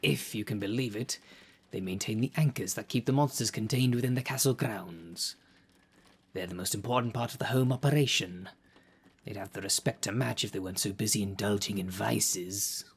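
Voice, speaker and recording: Demon caretaker, male actor: lowish husky voice, grandiose formal delivery, sardonic salesman streak, audio roleplay. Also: normal speed. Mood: dry, businesslike. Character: theatrical, haughty demon caretaker of a monster prison, dry salesman. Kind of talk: scripted audio roleplay, addressing the listener.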